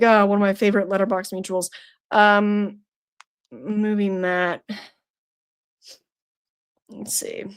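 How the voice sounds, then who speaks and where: A woman's voice: mid-range voice, smooth. Chatty young woman streamer. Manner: chatty, playful, laughs often, teasing. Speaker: streamer hosting a horror trivia night. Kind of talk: casual live-stream chat reading the chat.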